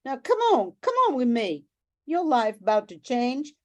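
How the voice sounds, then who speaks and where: A bossy old grandmother of the bayou acted by a woman in a radio play. Her voice is fairly high and soft-edged, and she takes her time. She scolds and coaxes in a folksy, kind-hearted way. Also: big pitch swings, a little slow. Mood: bossy coaxing. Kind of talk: amateur radio drama acting.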